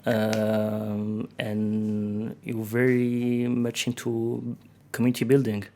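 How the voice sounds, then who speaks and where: A man's voice: lowish voice, monotone, takes it slowly, a little mellow. A man hosting a podcast interview, friendly and chatty, who often repeats words as he starts a sentence. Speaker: podcast host. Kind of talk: conversational interview.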